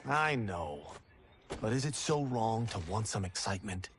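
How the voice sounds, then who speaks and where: A man's voice: fairly low pitch, measured pace, background noise. A man playing a restless young farmer who dreams of glory in a video game, earnest and playfully cocky. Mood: wistful longing. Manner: earnest, animated. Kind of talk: naturalistic video game acting.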